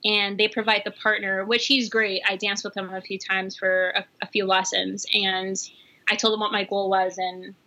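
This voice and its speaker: Bright-voiced chatty woman. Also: medium pitch, little pitch movement, moderate pace, low-quality recording. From New York. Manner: chatty, fillers, laughs easily. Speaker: guest. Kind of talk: casual conversation.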